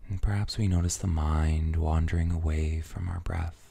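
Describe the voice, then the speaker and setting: A man leading a guided meditation in a soft, soothing, breathy near-whisper, gently and with long pauses between phrases. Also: extremely low pitch, steady tone, no echo.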